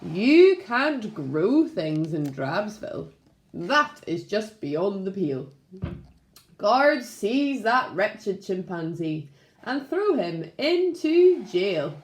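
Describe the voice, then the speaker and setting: A woman's voice: mid-range voice, very animated, slow pace. A woman voicing a bossy, outraged male chimpanzee mayor from a storybook, bellowing commands in a roomy space. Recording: roomy, echoing room sound.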